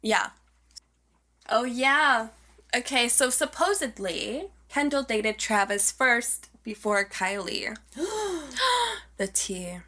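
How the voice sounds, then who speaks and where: A young woman co-hosting a podcast in a casual, gossipy chat, animated and slangy, swearing freely. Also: a little high, slow pace. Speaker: podcast co-host.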